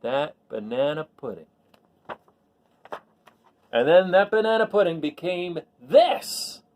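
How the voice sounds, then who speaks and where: Highly animated man reading a storybook. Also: slow, slight background noise. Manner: animated, emphatic, dramatizing key words. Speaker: storyteller reading a picture book aloud. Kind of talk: expressive read-aloud with chatty asides to listeners.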